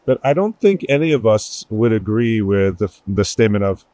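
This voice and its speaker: A podcast host steers a roundtable in a mellow, relaxed voice, asking measured questions with frequent fillers. The recording is dry and close. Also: male voice, lowish voice, dry recording. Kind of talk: moderating a roundtable.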